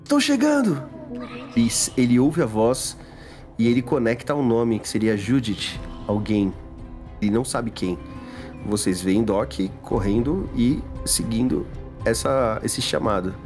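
A Brazilian man playing a tabletop role-playing game, improvising narration in a halting way and repeating phrases. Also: medium pitch, a little mellow, faint music behind.

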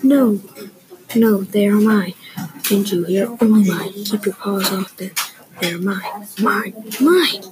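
A woman doing a loud, greedy pug. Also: medium pitch, slow, a crowd in the background, natural voice, low-quality recording. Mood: possessive, shouting. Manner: loud, possessive shouting. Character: selfish greedy male pug dog.

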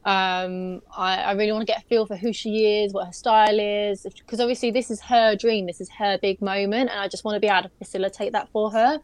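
A woman's voice: medium pitch, steady tone, brisk, hardly any pauses, dry recording. A young woman, a pageant stylist, chatting casually on a video call podcast, rambling with lots of fillers and restarts.

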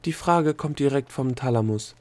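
A stern female examiner creature from a storybook, voiced by a man, pitched above the reader's normal tone. She is formal and solemn, coldly polite and thoroughly officious. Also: fairly quick, mellow, natural voice. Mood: strict, cold. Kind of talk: audiobook character voice.

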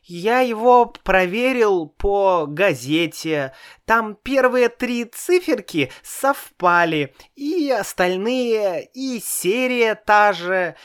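A man voicing a stubborn, tearful little boy in a storybook reading, with a high, whining delivery. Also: lively intonation, slow pace, a bit bright, clear, resonant tone, heavily acted. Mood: offended. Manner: high whiny pleading, sobbing.

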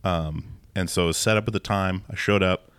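A man's voice: low voice, fairly even intonation, brisk, fairly bright tone, clear, resonant tone, no room sound. A man hosting a podcast, asking friendly, rambling questions in a casual interview, recorded indoors at a theater venue. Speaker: podcast host.

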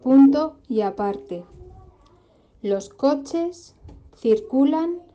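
Highish woman reading dictation slow, kinda muffled lo-fi sound. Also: lively intonation, mellow. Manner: spells out accent marks word by word. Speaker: dictation reader. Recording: dull lo-fi recording.

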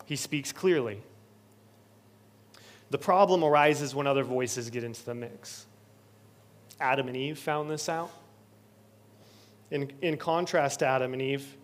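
Male pastor, higher-pitched, preaching with earnest building repetition. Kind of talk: sermon. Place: church sanctuary.

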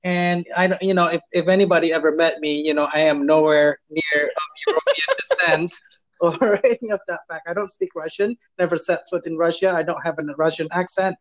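A man's voice: a little high, lively intonation, brisk, poor audio. A man working in property management, telling stories in a casual interview, hesitant with many fillers and restarts, chuckling now and then. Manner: amused, chuckles while telling.

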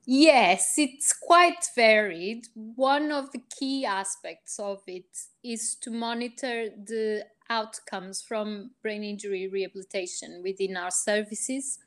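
A woman from Portugal, a research psychologist explaining her work as a guest in a podcast interview, measured and full of ums and rephrasings. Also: medium pitch, a bit bright.

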